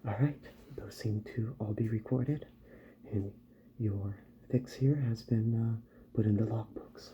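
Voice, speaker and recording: A man doing a soft-spoken ASMR roleplay as a calm, polite doctor who repairs robots, murmuring gently and often dropping into a whisper. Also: on the low side, muffled recording, a little hiss or hum. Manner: gentle, slow, murmured reassurance to the listener.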